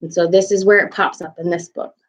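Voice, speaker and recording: A woman's voice: lowish voice, fairly even intonation, fairly quick. A young adult woman reading a children's bedtime story aloud expressively, indoors at home into a webcam. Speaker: storybook reader. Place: small room at home, indoors. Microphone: webcam at arm's length. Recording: slightly dull home webcam sound.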